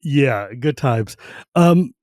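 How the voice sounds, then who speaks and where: A man hosting a casual podcast chat, relaxed and laughing often, using easy slang. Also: medium pitch, very slow, no echo, clean studio sound. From United States. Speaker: podcast host.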